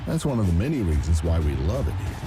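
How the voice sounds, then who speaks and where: A man's voice: deep voice, very animated, fast. A man narrating a scripted radio advertisement as a commercial voice-over announcer. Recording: produced ad with music bed.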